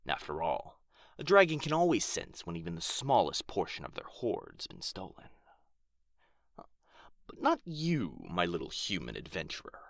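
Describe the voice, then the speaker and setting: A man playing an irritable, proud dragon guarding his hoard in an ASMR fantasy roleplay, with a theatrical, haughty, breathy delivery. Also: low-pitched, wildly swooping pitch, normal speed, dry recording, clean studio sound, full character voice. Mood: scornful, teasing.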